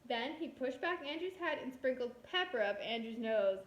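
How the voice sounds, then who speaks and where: A woman's voice: high-pitched, expressive, moderate pace. A woman kindergarten teacher reading a storybook aloud expressively, talking directly to young children. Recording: roomy echo.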